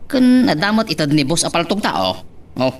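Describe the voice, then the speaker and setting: A man's voice: fairly high pitch, sing-song, fairly quick. A man playing a cocky, persuasive friend with shady work in an Ilocano audio drama, with an Ilocano accent. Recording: distant mic, faint noise.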